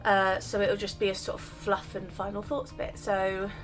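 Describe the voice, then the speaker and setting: A woman's voice: mid-range voice, little pitch movement, moderate pace, music in the background, low-quality recording. A woman chatting casually while she demonstrates styling her wavy hair, her voice just recovered from illness. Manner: chatty, self-deprecating, explains while doing. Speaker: hair vlogger. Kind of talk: casual hair tutorial chat.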